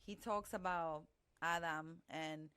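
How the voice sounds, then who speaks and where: Smooth-voiced woman, Spanish native speaker. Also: low-pitched, steady tone, slow, bright, forceful tone, dry recording. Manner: earnest, searching for words with fillers. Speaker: podcast co-host. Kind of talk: casual podcast chat.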